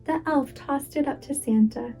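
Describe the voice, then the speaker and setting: A woman reading a children's story aloud as a preschool teacher would, with dramatic, deliberate expression. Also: high-pitched, gravelly, dark tone. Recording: music behind the voice. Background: music.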